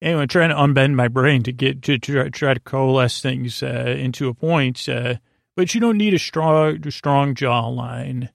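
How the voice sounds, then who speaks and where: A man hosting a sleep podcast with a goofy, rambling bedtime monologue, haltingly trailing off and doubling back. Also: medium pitch, gravelly, no echo, studio quality. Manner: whimsical, goofy.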